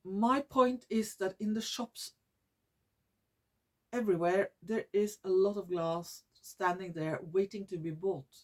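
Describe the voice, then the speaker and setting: A woman from Norway with a Norwegian accent, hosting her own vlog in English, which is not her first language, often pausing to search for words and restarting her sentences. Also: medium pitch, steady tone. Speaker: YouTube channel host. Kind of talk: casual vlog monologue.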